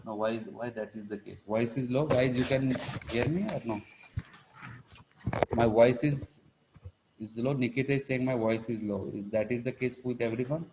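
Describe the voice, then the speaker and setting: A man with an Indian accent teaching a live online engineering class, explaining step by step in a halting, repetitive way with frequent check-in words. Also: mid-range voice, fairly even intonation, background noise. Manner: halting, repetitive, punctuated with check-in words like "right" and "you know". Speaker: engineering lecturer.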